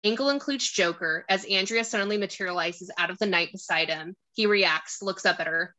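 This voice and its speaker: A woman's voice: mid-range voice, brisk, sharp tone. A woman narrating a screenplay, reading out stage directions and scene descriptions.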